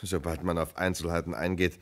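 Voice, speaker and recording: A police lieutenant in a radio crime play. He has a low voice and reports in a matter-of-fact way, patiently laying out details as a subordinate would. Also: flat delivery, a little fast. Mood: explanatory. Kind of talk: German radio crime drama acting.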